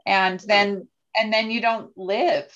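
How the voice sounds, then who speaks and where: A woman talks earnestly in a podcast conversation and often restarts her sentences. Her voice sits at an ordinary middle pitch. The recording sounds dull and lo-fi. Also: steady tone, slow, a bit bright. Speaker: podcast guest.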